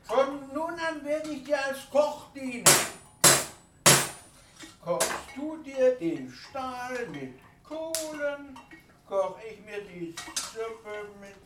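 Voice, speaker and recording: A man's voice: extremely high pitch, very animated, extremely slow, heavy background noise, heavily acted. An actor playing a wheedling, scheming older male dwarf smith in a theatrical fantasy radio drama. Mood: meek, wheedling. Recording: roomy, distant, under loud music.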